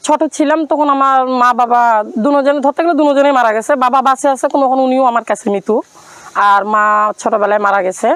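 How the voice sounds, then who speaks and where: A woman's voice: high voice, steady tone, fast, fairly bright tone, clear, resonant tone, no echo. A woman with a Bangladeshi accent, earnestly and plaintively telling her life story and appealing to listeners. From Rangpur, Bangladesh. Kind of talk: personal account and plea to viewers.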